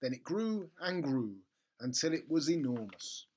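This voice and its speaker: A storybook reader narrating a children's tale in an expressive, theatrical way. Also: medium pitch, a little slow. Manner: animated, theatrical.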